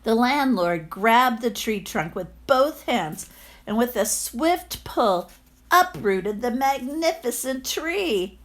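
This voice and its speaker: A woman's voice: a little high, lively intonation, takes it slowly. A woman reading a storybook aloud to children, addressing her young listeners informally.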